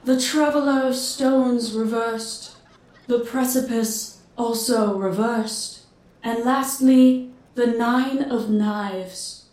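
Mystic fortune teller, female actor: slow, ominous, high-set voice, distant and echoey. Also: steady tone, a little mellow. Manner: portentous, mystical.

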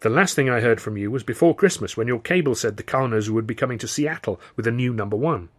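A man voicing a sharp, teasing young mother in an audiobook, easily exasperated. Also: medium pitch, fast, lightly acted. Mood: brisk, teasing. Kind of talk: audiobook character dialogue.

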